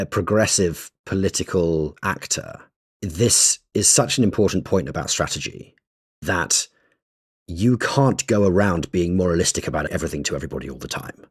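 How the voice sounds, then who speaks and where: A man with a very low, husky voice, chatting as a podcast co-host. He talks in animated bursts, restarting sentences and leaning hard on key words. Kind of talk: casual chat.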